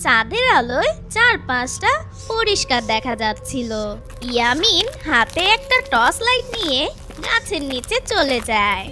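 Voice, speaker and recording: High, lively woman story narrator. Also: measured pace. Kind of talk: scripted children's story narration. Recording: faint music behind the voice.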